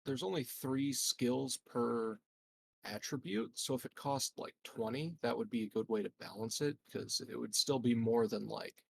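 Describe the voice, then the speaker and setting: A man with a creaky, rough-edged voice of average pitch talks casually as a game player. He floats ideas in an informal, tentative way. The sound is close and dry. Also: measured pace, no echo. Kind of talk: casual chat.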